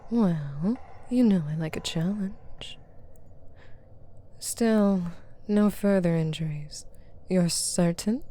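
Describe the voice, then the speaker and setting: A woman's voice: fairly low pitch, slow pace, long pauses, mellow. A woman playing a haughty, shrewd demon buyer in a scripted ASMR roleplay, with a stern, authoritative and condescending manner. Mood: stern, skeptical. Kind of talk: scripted ASMR roleplay, addressing unheard partners.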